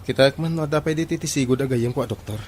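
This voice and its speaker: A man's voice: mid-range voice, quick pace, a little mellow, slight background noise, lightly acted. An actor with a Filipino accent playing an easygoing young groom-to-be bantering with his buddy in a radio drama. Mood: earnest.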